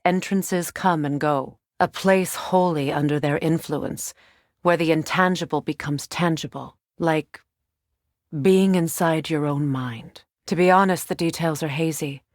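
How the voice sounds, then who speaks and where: An actress playing a proud, determined Norse goddess and Valkyrie queen in scripted video game dialogue, measured and composed in manner. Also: low-pitched, dry recording, clean studio sound. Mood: solemn, reflective.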